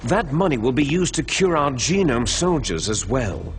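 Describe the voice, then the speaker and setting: An actor playing a menacing, arrogant terrorist leader in a video game cutscene, theatrical and condescending. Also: male voice, mid-range voice, big pitch swings, normal speed, over music, close to an everyday voice. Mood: gloating, scheming. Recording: loud game soundtrack behind the voice.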